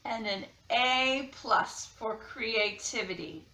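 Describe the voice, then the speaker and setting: A woman's voice: fairly high pitch, takes it slowly, bright, forceful tone, echoey room, far from the mic, low-quality recording. A woman reading to children and giving casual art instruction. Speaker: children's story reader and art teacher.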